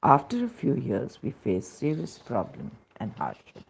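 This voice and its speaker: A man with an Indian accent reads a scripted devotional testimony in a measured, solemn manner, on a dull recording. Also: mid-range voice, wildly swooping pitch, creaky, slight background noise.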